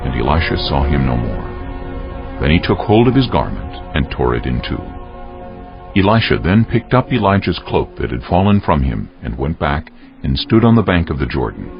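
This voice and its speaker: A male narrator with an extremely deep, gravelly voice reads scripture solemnly. His intonation moves widely, in storytelling fashion. The audio is a little dull, with music playing underneath. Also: sing-song, moderate pace. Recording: muffled audio over faint music.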